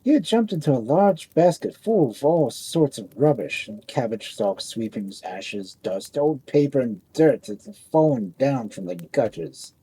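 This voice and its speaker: Fairy tale narrator, scripted storytelling, very soft dark timbre, animated intonation. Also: mid-range voice, measured pace, hardly any pauses, breathy edge, low-quality recording.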